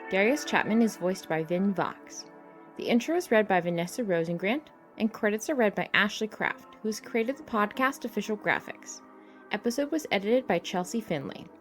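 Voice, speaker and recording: Credits reader: female, mid-range pitch, scripted announcement delivery, light music bed. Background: faint music.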